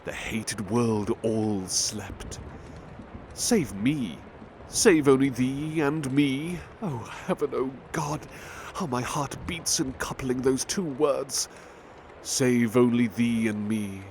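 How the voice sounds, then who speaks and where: A man recites poetry very slowly, lingering over each line in a gentle, expressive voice. His pitch sits in a middle range, and a faint background noise hangs beneath the recording. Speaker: ASMR butler roleplay reader. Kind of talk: slow ASMR poetry reading.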